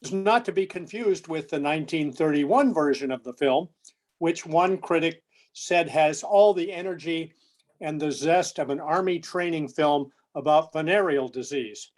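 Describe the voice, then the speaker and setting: A man co-presenting a prepared but conversational lecture, with measured, deliberate phrasing. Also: on the high side.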